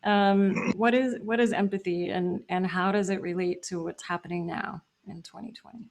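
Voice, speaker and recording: A woman's voice: mid-range voice, fairly even intonation, moderate pace, gravelly. A woman hosting an interview, asking questions in a friendly way. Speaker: podcast host. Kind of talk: conversational interview.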